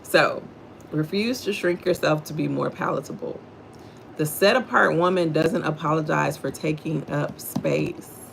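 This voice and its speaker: Deep-voiced Texan woman with a gravelly edge. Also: a little hiss or hum, low-quality recording. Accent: Southern US, Texan. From Houston, Texas, USA. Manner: talks low and slow, greets viewers by name. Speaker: livestream host. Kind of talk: devotional reading and casual chat with live viewers.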